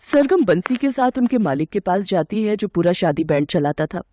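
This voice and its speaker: A woman's voice: mid-range voice, sing-song, moderate pace, warm tone, a little hiss or hum. A woman reading a scripted moral bedtime story as its narrator.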